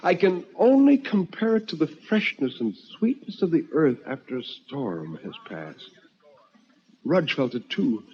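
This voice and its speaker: An actor playing a frightened, haunted man who tells a ghost story in a theatrical, halting way, like old radio horror. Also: on the high side, big pitch swings, husky, dull audio, heavily acted. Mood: shaken, relieved. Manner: theatrical, halting with stammered repeats. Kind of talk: dramatic old-time radio horror narration.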